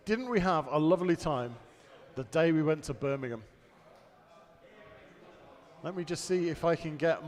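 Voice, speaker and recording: A man hosting a live race stream, reading chat aloud and commentating, his voice getting worn. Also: a little high. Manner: chatty, reads out chat messages, laughs easily. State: losing his voice. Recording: long hall reverb.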